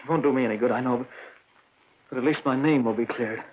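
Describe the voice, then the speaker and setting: A man's voice: medium pitch, quick pace, slight background noise, lightly acted. An actor playing a condemned man who stammers as he pleads his innocence, in a 1940s radio drama. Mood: desperate pleading. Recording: muffled old radio transcription.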